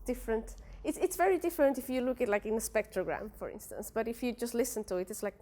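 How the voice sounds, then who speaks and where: A woman's voice: fairly high pitch, fast, dark tone. A young woman from a Spanish-speaking country, a native Spanish speaker with a Spanish accent, presenting her research at a workshop with many fillers and restarts. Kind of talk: academic presentation.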